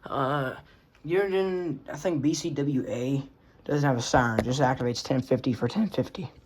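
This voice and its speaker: Casual male collector, average pitch, rambling and offhand, mildly sweary, noisy home recording with clicks. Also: moderate pace, darkish tone. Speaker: radio collector showing collection. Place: indoors among working radios. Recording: clear background noise with clicks.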